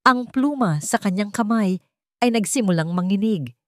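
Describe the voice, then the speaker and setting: A woman's voice: mid-range voice, expressive, moderate pace, dry recording, clean studio sound. A woman narrating a romantic melodrama as a scripted, dramatic story.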